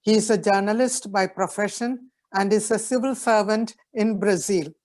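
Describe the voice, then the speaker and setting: Woman, panel moderator, measured formal introduction, mid-pitched voice. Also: a little mellow. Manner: measured, reads a prepared introduction.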